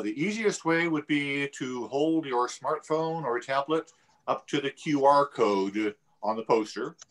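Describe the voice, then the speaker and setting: Slow-talking man giving instructions. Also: medium pitch. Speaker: show guest giving ticket information. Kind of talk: announcement, explanatory.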